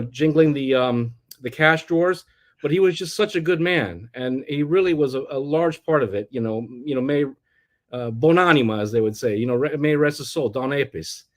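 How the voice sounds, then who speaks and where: A middle-aged man from the United States, telling his life story as an interview guest in a rambling way, with many restarts and fillers. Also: medium pitch. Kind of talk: casual personal storytelling.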